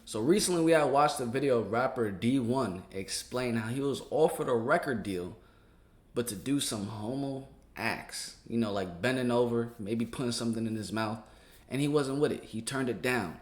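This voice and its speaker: A man's voice: mid-range voice, normal speed. An American man talking casually in street slang, giving reaction commentary as a channel host. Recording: echoey room.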